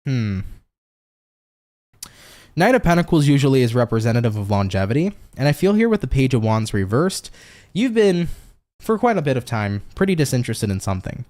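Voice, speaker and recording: A man's voice: medium pitch, big pitch swings, a little fast, pauses often, darkish tone. New york guy doing a tarot stream, chatty, swears a lot, talks right to chat. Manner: chatty, swears freely, talks straight to viewers. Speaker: tarot reader. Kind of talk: casual live-stream tarot reading.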